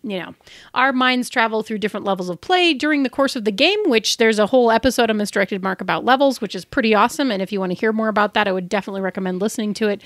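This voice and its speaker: A woman speaks as a podcast co-host in a fairly high voice. Her delivery is informal and conversational, recorded close and very dry. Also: no echo.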